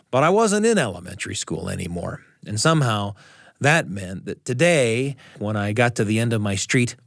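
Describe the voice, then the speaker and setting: An American man hosting a storytelling radio show, speaking in a studio and narrating in a personal, conversational way. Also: medium pitch.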